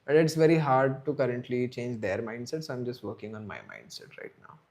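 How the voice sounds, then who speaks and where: Male streamer, 30s, mid-pitched, loose rambling chat with viewers. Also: brisk, darkish tone. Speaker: livestream host. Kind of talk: casual live stream chat.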